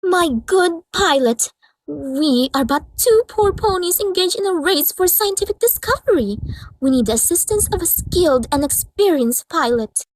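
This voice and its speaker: Posh, melodramatic lady pony, very lively. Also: very high voice, moderate pace, slight background noise, poor audio. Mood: coaxing. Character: refined, dramatic, theatrical young female pony fashionista. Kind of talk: comic dub voice acting.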